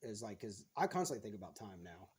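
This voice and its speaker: A man's voice: lowish voice, very animated, fast, darkish tone, breathy edge. A man in his thirties, a guest on a podcast, talking frankly in casual chat and swearing freely as he retells things.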